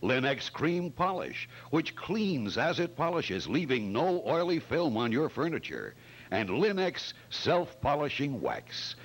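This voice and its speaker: A man announcing in old-time radio style, with a theatrical, emphatic sponsor-pitch delivery. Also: slightly rough.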